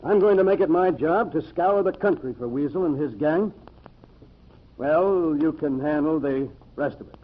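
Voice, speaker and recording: An actor playing a determined, vengeful lawman in an old-time radio mystery, forceful and resolute. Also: fairly high pitch, moderate pace, lightly acted. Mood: grim, resolute. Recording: thin, phone-like old radio recording.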